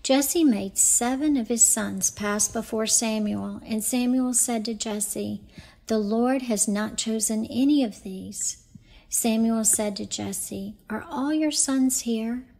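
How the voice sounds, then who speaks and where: A woman reading scripture aloud in a solemn, measured and deliberate way. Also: on the high side, gravelly, mellow. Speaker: scripture reader.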